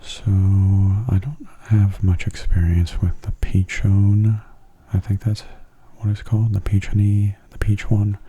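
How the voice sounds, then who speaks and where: A man giving soft-spoken ASMR gameplay commentary in a gentle, unhurried, breathy half-whisper, close to the microphone. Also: level tone. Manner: gentle, unhurried, low-key.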